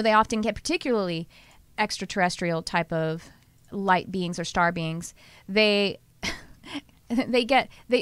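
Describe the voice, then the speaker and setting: A woman with a Texas accent hosting a podcast, talking casually and lively, often laughing and speeding up in bursts. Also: on the low side, clean tone, dry recording. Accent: Texan. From Southern US. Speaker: podcast host and channeler. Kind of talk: casual conversational podcast chat.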